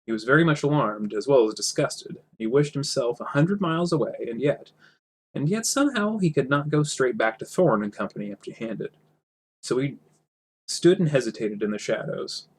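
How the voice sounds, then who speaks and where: A man's voice: mid-range voice, animated, normal speed, husky. A man narrating a storybook aloud, sometimes tripping over words and repeating phrases. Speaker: reader, narrator.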